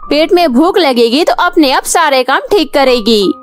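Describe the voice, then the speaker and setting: A woman's voice: high-pitched, expressive, moderate pace. A woman voicing a cruel, scolding aunt in a cartoon, harsh and insulting. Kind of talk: cartoon voice acting. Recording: faint music behind.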